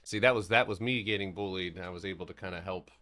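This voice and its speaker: A man hosting a casual livestream market recap. He talks in a loose, rambling way, swears casually, and slows into a steady explanatory tone when walking through charts. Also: sits low. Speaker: stock trading streamer.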